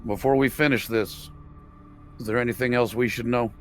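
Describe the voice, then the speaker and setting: A man's voice: medium pitch, fairly even intonation, normal speed, fairly bright tone, soft background music. A man chatting casually as a player in a tabletop roleplaying game, saying what his character does.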